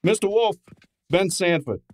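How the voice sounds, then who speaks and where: A man's voice: sits high, lively intonation, unhurried. A man playing a brash, wisecracking detective's assistant in a radio drama, breezy and slangy. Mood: breezy, showing off. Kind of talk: old-time radio drama acting.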